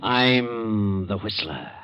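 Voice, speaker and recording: Sinister night-walking narrator, deliberate and portentous, loud music behind, muffled 1940s radio recording. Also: male voice, on the low side, animated, heavily acted. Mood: ominous. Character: ominous night-walking man, sinister omniscient storyteller.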